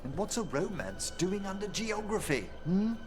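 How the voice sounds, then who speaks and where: An actor voicing a refined, scholarly older gentleman necromancer in a video game, with precise, theatrical manners. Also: high-pitched, very animated, normal speed. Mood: intrigued, courtly. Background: game music.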